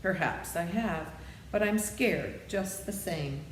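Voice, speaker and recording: A woman's voice: fairly low pitch, takes it slowly, a little hiss or hum, pitched above the performer's own voice. Woman reading as an anxious lion, echoey room. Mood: anxious, pleading. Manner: worried, earnest. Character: anxious lion pleading for courage.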